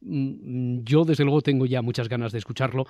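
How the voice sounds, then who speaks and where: A man from the host's chair of a panel podcast, speaking with a Spain accent. His voice sits at an average pitch and is recorded very dry. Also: steady tone, normal speed, mellow, dry recording. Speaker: podcast host. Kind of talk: presenting a panel discussion.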